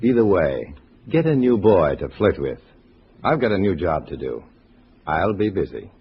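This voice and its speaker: An actor playing a terse, dry undercover agent posing as a communist in a 1950s radio drama. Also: male voice, low-pitched, very animated, normal speed. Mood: cool, dismissive. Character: hard-boiled undercover male agent posing as a communist comrade. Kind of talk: 1950s radio drama acting and first-person narration. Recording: muffled vintage radio recording, faint hiss.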